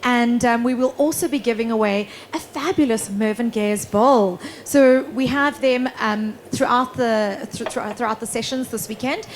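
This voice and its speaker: A woman's voice: on the high side, normal speed, few pauses, slight background noise. A woman hosting a live cooking demonstration, bubbly and laughing often, announcing the guest chef and the prizes. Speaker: host.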